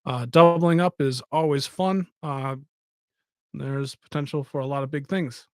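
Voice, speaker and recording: A man's voice: mid-range voice, steady tone, unhurried, no echo. A man hosting a live stream, chatting casually and rambling as he talks to his viewers. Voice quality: says he has a soft voice. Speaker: podcast host.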